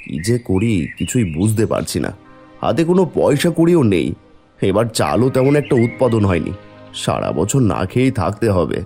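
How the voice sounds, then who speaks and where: A man's voice: on the low side, wildly swooping pitch, moderate pace, creaky, dark tone, faint music behind, exaggerated voice. A man voicing a poor, weary farmer father in a fairy-tale cartoon, fretful in manner. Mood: worried. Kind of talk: animated fairy-tale dialogue.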